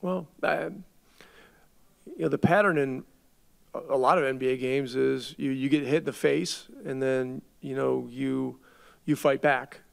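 A middle-aged man, a head coach, answering reporters at a postgame press conference in a measured way, often restarting his words as he thinks. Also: medium pitch, fairly even intonation, vocal fry. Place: arena press room. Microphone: table mic.

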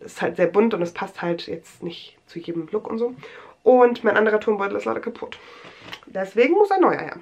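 A woman's voice: mid-range voice, expressive, fairly quick, vocal fry, darkish tone. A young woman with a cold, rambling chattily through a show-and-tell vlog in a small bedroom, full of fillers and restarts. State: has a cold. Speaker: vlogger.

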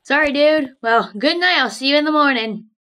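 A woman's voice: high voice, moderate pace, sharp tone. A woman playing a casual, laid-back male prisoner in an improvised video game roleplay. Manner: casual slacker talk.

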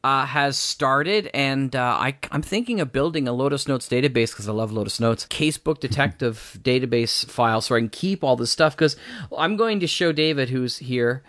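A man's voice: medium pitch, normal speed, fairly bright tone. A man hosting a podcast in casual conversation, talking with enthusiasm. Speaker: podcast host. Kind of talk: casual chat.

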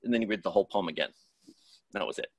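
A man in a casual, reflective discussion on a video call, halting and thoughtful as he searches for words. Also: low-pitched, husky, dry recording. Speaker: writing teacher and author, audience member giving feedback. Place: indoors, online video call. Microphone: video call microphone.

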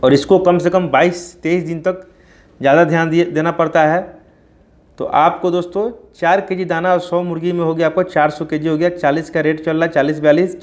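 A man's voice: a little high, a little mellow. A man, hosting an informal explainer and often addressing listeners as friends. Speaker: poultry farming video host. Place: small plain indoor room.

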